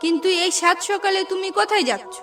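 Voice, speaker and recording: A woman's voice: very high-pitched, quick pace. A woman voicing an anxious, plaintive village wife in a cartoon. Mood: worried. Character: worried village wife, anxious sister-in-law. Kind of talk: cartoon voice acting. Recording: slightly distant, music behind.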